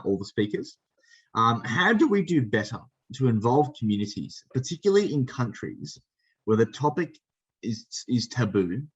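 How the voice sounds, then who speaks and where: A man moderating a webinar, reading out questions in a brisk, polite manner. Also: mid-range voice, slightly rough. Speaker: session moderator. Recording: dull lo-fi call audio.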